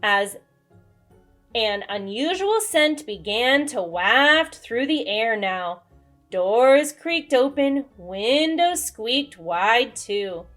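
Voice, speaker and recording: A woman reads a children's book aloud with dramatic expression. Her voice is high and unhurried, with faint music behind it. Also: lively intonation, fairly bright tone. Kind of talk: expressive storybook read-aloud narration.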